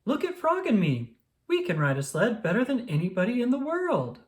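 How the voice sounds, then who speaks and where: A reader voicing an excitable, grumbling male toad from a children's storybook, with dramatic, exclaiming delivery. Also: mid-range voice, moderate pace, pitched above the performer's own voice. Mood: excited, alarmed.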